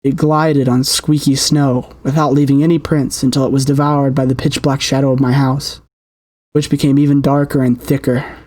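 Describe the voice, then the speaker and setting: A man's voice: medium pitch, little pitch movement, normal speed, darkish tone. A man reading a horror game's story aloud with theatrical, suspenseful delivery, as a player narrating.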